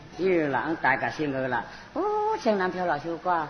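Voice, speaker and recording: A storyteller telling a comic folk tale in an animated way, often repeating short phrases. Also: medium pitch, fast talker. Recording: muffled, noisy recording in a reverberant space.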